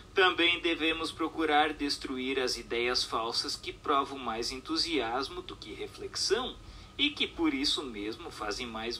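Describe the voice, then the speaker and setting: A man's voice: mid-range voice, sharp tone, a little hiss or hum. A man reading a scripted text aloud as a narrator, in a formal, declamatory way.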